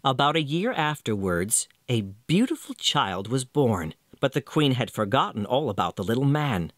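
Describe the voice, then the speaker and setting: A male storyteller narrates a classic fairy tale with theatrical expression. His mid-pitched voice moves through a lively range, and the sound is dry. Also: normal speed, no room sound.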